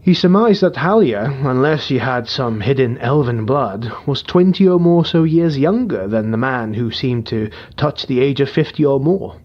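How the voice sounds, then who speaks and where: A man narrating a dark fantasy audiobook in a measured, somber storytelling voice. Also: mid-range voice, animated, lo-fi sound.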